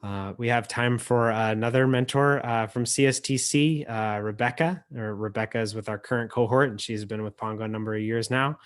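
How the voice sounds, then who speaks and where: A man hosting a poetry reading over a video call, speaking in a halting, reflective way. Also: mid-range voice, clean tone. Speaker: emcee and editor.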